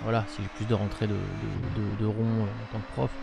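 A man's voice: fairly low pitch, level tone, a little fast, vocal fry, loud music behind the voice. A young man chatting casually on a live stream from his room, rambling with lots of fillers. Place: home room with webcam. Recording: noisy stream with game sound.

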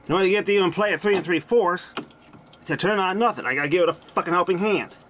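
A man's voice: high-pitched, racing, fairly bright tone. A man giving casual, blunt, salty commentary as he does hands-on repair work. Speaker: hobbyist repairer showing a vintage machine. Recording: noisy amateur camera audio, mechanical hum.

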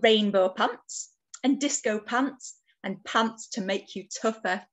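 A woman's voice: medium pitch, takes it slowly, natural voice. A woman voicing a plucky, cheerful octopus who tells a rhyming storybook tale in a sing-song way. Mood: cheerful storytelling.

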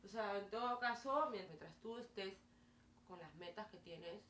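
A woman in her twenties from Peru with a Peruvian Spanish accent, casually telling her story to camera with lively hand gestures and many fillers. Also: medium pitch, a little slow. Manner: chatty, animated hand gestures, frequent fillers. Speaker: vlogger. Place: small bedroom, indoors. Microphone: camera mic at a distance. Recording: roomy, distant, faint hiss.

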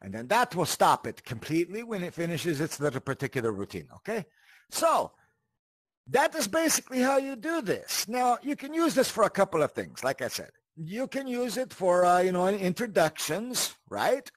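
A man hosting a casual instructional walkthrough in a chatty, animated way, often checking in with the listener. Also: sits high, normal speed, no room sound. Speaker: tutorial host.

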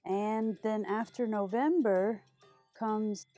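A woman's voice: mid-range voice, steady tone, slow, warm tone, faint music behind. A middle-aged woman hosting storytime for small children, with an exaggerated, sing-song delivery as she talks and reads aloud. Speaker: children's library storytime host. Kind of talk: children's storytime, talking to young viewers and reading aloud. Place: small decorated indoor storytime set.

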